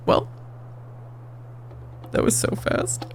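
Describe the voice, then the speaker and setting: A woman's voice: darkish tone, slight background noise. A woman chatting casually as she streams a game playthrough, playful and giggly, reading quest dialogue aloud.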